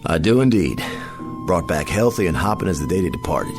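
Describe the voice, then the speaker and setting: In this western radio drama a slick, grandiose American frontier showman. His voice sits deep and swings widely as he sells his wonders with folksy, theatrical flourish. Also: huge pitch swings, brisk, music in the background, full character voice. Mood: sly, boastful. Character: smooth-talking frontier showman who claims to raise the dead.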